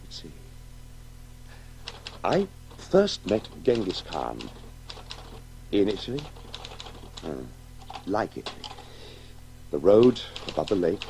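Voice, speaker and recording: A man acting a brooding, halting writer in a radio play, narrating his own story with intensity. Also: deep voice, sing-song, dark tone, slight background noise, exaggerated voice, low-quality recording. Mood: musing. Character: brooding, wonder-struck male writer telling his story.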